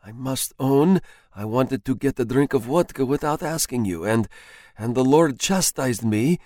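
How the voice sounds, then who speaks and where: A man's voice: mid-range voice, expressive, unhurried, darkish tone, no echo, clean studio sound, voice pushed up, natural voice. A man voicing a timid, frightened clerk who stammers and pleads. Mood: frightened pleading.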